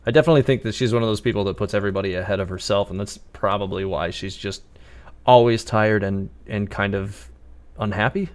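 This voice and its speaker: Low-voiced man chatting through a game stream. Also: quick pace. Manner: chatty, thinking aloud. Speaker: game livestreamer. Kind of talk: casual gameplay commentary. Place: indoors at a computer.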